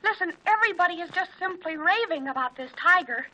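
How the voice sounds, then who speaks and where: Very shrill, bright teenage gossip. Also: female voice, animated, fast, slight background noise, heavily acted, low-quality recording. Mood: gushing gossip. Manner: gushing, chatty, confiding. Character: gossipy, breathlessly excited teenage girlfriends on the telephone. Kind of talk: 1940s radio comedy acting. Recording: vintage 1940s radio recording.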